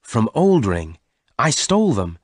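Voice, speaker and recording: A man reads as a protective young frontiersman in a storybook. He is gentle and earnest yet resolute, with expressive rises and falls. The recording is dry. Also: mid-range voice, a little slow, husky, dry recording, pitched above the performer's own voice. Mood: confident.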